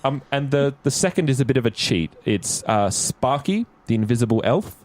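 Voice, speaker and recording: A man hosts a casual podcast chat with a very lively, swooping delivery. His voice sits at a middling pitch as he presents facts and fields replies. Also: normal speed. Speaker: podcast host.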